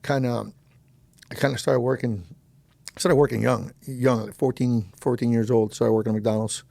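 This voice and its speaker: A man's voice: mid-range voice, steady tone, moderate pace, vocal fry, warm tone, no echo. A man in his fifties, originally from Colombia, telling his life story as a podcast guest in a rambling, self-deprecating way and laughing easily. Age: 50s. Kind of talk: casual storytelling interview.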